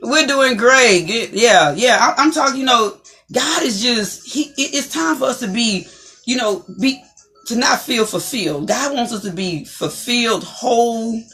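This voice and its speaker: A woman with a deep, distinctive voice hosting an inspirational talk show, encouraging listeners and repeating her phrases for emphasis. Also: animated, a little slow, a bit bright, soft background music.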